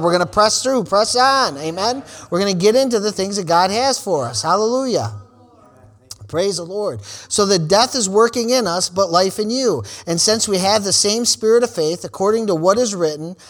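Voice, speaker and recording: A man in his forties preaching a sermon, calling out "amen" and "praise the Lord" between lines and building to shouted, repeated refrains. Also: sits high. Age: 40s. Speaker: pastor.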